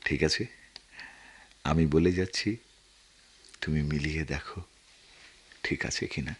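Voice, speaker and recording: A deep, brooding war veteran. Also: male voice, fairly even intonation. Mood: quiet, resigned. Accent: Bangladeshi. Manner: brooding, halting, dramatic delivery with long pauses. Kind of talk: Bengali radio drama acting.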